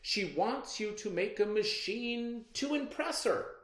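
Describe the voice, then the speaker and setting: A man's voice: sits high, lively intonation, slow, fairly bright tone, reverberant, voice pushed up, exaggerated voice. A man voicing a pompous, theatrical convention host from a children's storybook, welcoming young inventors in grand style. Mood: grand welcome.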